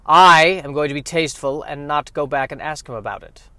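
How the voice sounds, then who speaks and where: A man playing a bossy, proper gentleman scientist, emphatic and pompous, in a spoof fifties radio play. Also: on the high side, fairly quick, no echo. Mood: prim. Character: bossy, indignant 1950s male scientist hero, proper gentleman. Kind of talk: spoof 1950s radio acting.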